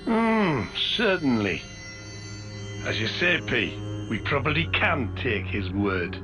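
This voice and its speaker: A man voicing a sly, composed villain's accomplice in a video game, measured and formal. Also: mid-range voice, huge pitch swings. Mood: cool, agreeing. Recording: loud ominous game music.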